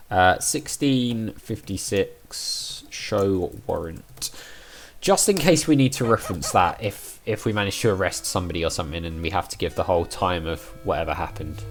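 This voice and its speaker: A man with a croaky, worn voice, chatting casually as he plays through an adventure game and thinks aloud. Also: sits low. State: croaky voice, recovering from overuse. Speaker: let's play gamer.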